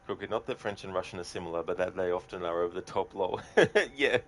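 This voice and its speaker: A man chatting casually and haltingly as he live-streams a video game, musing aloud to viewers. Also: deep voice, slight background noise. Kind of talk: casual chat while gaming.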